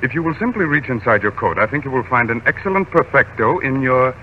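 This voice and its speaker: An actor playing a suave, calm male stage magician in an old radio drama, smooth and teasing. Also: mid-range voice, fast talker, phone-quality sound, natural voice. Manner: smooth, urbane, teasing composure. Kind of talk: 1930s radio drama acting. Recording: old radio broadcast with hiss.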